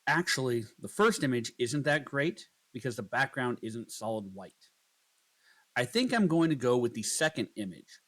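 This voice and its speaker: A man narrating a scripted software tutorial, explaining each step in turn as a voice-over. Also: medium pitch, big pitch swings, normal speed.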